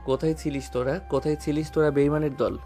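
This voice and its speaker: A man's voice: a little high, level tone, fast talker, warm tone, soft background music, lightly acted. A man playing a furious, fiercely protective young man with a heavy, growling voice in an audio drama. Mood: roaring rage. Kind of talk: dramatic audio story acting.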